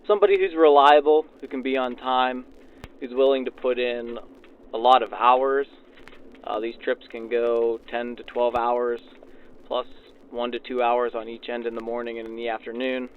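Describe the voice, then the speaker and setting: Man, mid-pitched voice, informal interviewee, narrow tinny radio filter, faint vehicle ambience behind. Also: fairly even intonation, moderate pace, smooth. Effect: backdated 1940s radio filter. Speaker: railroad passenger services supervisor being interviewed.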